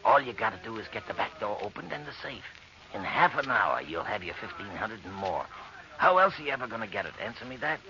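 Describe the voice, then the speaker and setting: A man's voice: on the low side, very animated, fast talker, sharp tone, exaggerated voice. An actor playing a brash, callous burglar who bullies and wheedles in slangy tough-guy talk in an old radio horror drama. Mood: pushy, confident. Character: brash, callous male burglar, pushy and scornful. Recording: muffled, noisy old radio recording.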